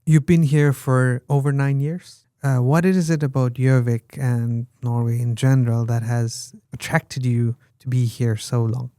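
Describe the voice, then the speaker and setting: Guy hosting a podcast, mellow voice, dry sound, asks questions. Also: medium pitch, fairly even intonation, a little slow, hardly any pauses, dry recording. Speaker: podcast host. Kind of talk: interview questions.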